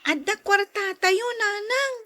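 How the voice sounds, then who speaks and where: A woman's voice: extremely high pitch, expressive, takes it slowly, bright, forceful tone, exaggerated voice. A woman voicing a small, sickly young child who pleads with a parent in a podcast drama. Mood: eager.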